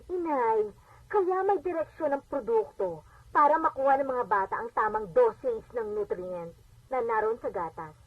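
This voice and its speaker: A haughty Filipino daughter and mother, speaks in a high voice with bossy exasperation. It is radio drama acting full of scolding. The audio is dull and lo-fi, like an AM playback. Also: expressive, normal speed, no echo. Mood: scolding, irritated. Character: haughty, irritable adult daughter and working mother.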